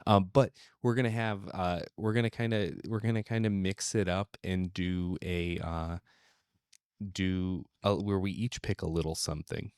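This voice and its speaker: A man's voice: low voice, steady tone, moderate pace, darkish tone, clear, resonant tone, dry recording, clean studio sound. A man co-hosting a podcast, talking casually and without a script. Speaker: podcast co-host. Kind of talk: casual, unscripted podcast chat.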